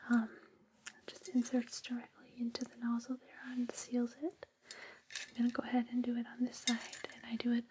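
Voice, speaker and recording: A woman whispering gently and reassuringly in an ASMR personal-attention roleplay, with a breathy, soothing delivery. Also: on the high side, slight background noise. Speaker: ASMR host playing a space suit fitting attendant.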